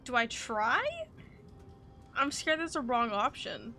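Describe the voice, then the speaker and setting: A woman's voice: on the high side, slow pace, sharp tone, slight background noise. A woman game streamer giving casual live commentary, musing aloud to herself. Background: faint game music.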